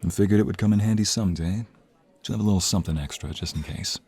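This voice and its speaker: A low, slightly rough-edged voice of a man acting out a protective young mobster in a romantic audio drama. He speaks intimately and earnestly to his lover, with menace ready for anyone who threatens them. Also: fast talker, darkish tone. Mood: tender, worried.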